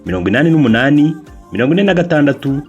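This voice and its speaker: A man's voice: on the high side, big pitch swings, rapid-fire, darkish tone, lo-fi sound. A Rwandan man announcing a radio drama in scripted, promotional narration. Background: music.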